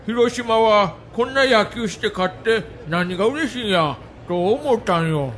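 A man's voice: sits high, animated, unhurried, a bit bright. A man playing a confident, blunt baseball player with a Kansai accent in an audio documentary. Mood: reflective, scornful. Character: confident, competitive young male baseball player.

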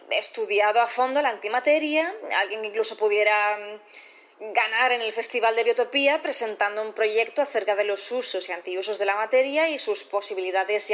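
Actress as brisk female prosecutor, higher voice, pausing mid-question, courtroom audio drama, slightly dull sound. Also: fairly bright tone, close to an everyday voice.

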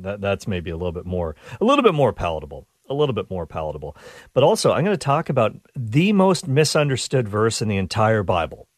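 Brief: male radio host, low voice, dry studio sound, excited and chatty, slightly congested. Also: no room sound. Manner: enthusiastic, chatty, frequent restarts. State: stuffed up nose. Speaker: radio talk show host. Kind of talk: upbeat radio show monologue.

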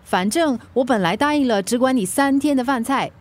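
A woman's voice: sits high, animated, a little fast. An actress playing a proud, feisty young single mother in a radio drama, snappy and protesting, with a Singaporean accent. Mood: indignant.

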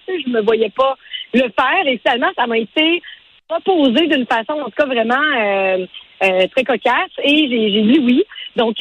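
Woman in her forties, Quebec French accent, high voice, husky edge, halting conversational radio interview delivery. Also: fairly bright tone, no echo, low-quality recording. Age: 40s. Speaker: interview guest, event organizer and matchmaker.

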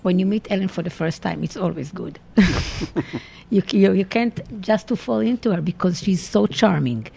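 Woman, Israeli accent, dark mellow tone, gravelly, halting interview talk. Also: mid-range voice, animated. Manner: halting, searching for words. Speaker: longtime theater company member.

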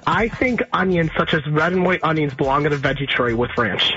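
A man's voice: on the high side, lively intonation, sharp tone, highs cut off, soft background music. A man calling in to a sports radio show, rambling and repeating himself as he gives his takes. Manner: rambling, halting, repeats words. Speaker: caller. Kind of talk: call-in chat.

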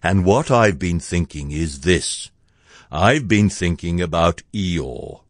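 An amiable, slow-witted storybook bear, played by a man reading aloud, with a lively, swinging melody to his speech. He sounds simple, earnest and a little ponderous, recorded close and dry. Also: sits low, no echo. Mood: thoughtful. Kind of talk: children's storybook character reading.

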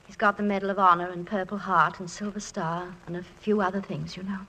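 An actress playing a gracious, gently wry college president's wife who coaches students, in 1940s radio comedy style. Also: female voice, medium pitch, level tone, fairly quick, slight background noise, low-quality recording. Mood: gentle. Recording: 1940s radio broadcast, music underneath.

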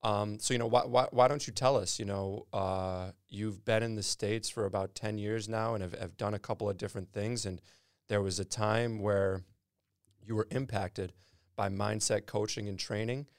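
A man's voice: lowish voice, steady tone, moderate pace, smooth, no echo, clean studio sound. A man hosting a podcast interview, friendly and rambling, repeating words while he thinks. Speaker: podcast host. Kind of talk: casual interview conversation.

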